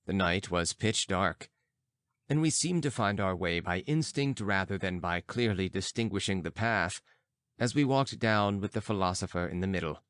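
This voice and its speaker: Expressive man narrating an audiobook, dry sound. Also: deep voice, moderate pace, smooth, dry recording. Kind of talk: scripted literary narration with acted dialogue.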